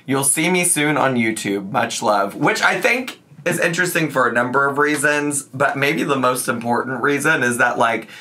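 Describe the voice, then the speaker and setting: A man in his thirties hosting a reaction video in casual, animated commentary, his voice still a bit congested. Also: medium pitch, normal speed, few pauses. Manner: chatty, animated, sing-song asides. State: congested, recovering voice. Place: living room with shelves.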